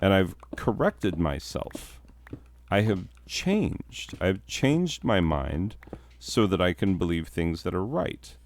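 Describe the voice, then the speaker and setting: Deep-voiced man, authoritative newscaster delivery. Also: sing-song, takes it slowly. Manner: newscaster-like downward inflection, authoritative; rambling with restarts and chuckles. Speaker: teacher. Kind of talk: casual chat.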